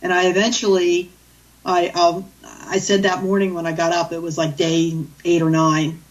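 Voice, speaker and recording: A woman's voice: lowish voice, flat delivery, normal speed, distant mic, muffled recording. A woman, a guest animal communicator, telling stories in a rambling, chatty way with many restarts. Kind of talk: storytelling in a radio interview.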